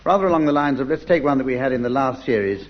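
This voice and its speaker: A man's voice: mid-range voice, expressive, rapid-fire. A man chairing an unscripted comedy panel game in front of a studio audience. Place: live show before a studio audience. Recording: dull noisy old radio recording.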